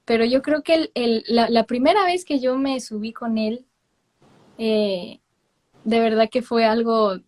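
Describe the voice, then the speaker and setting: A young woman with a Mexican accent tells stories as a guest in a relaxed interview. Her voice sits a little high and stays lively, with frequent laughter and English words mixed in. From Miami, with long stays in Mexico. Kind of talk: casual interview chat.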